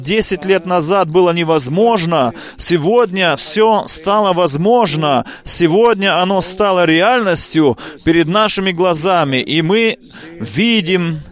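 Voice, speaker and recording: A man with a noticeably high voice carries a sermon across in live interpretation. He speaks haltingly, breaking off and restarting his phrases as he catches up with the preacher.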